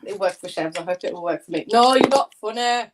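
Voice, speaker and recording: A woman's voice: mid-range voice, lively intonation, a little fast, background noise. A woman on a live online panel, teasing and bantering casually. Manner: teasing, playful.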